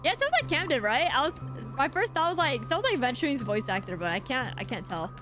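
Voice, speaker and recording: Female game streamer: slightly high, animated pitch, casual exclamatory chat over game music. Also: fast. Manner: playful, exclamatory. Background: video game music.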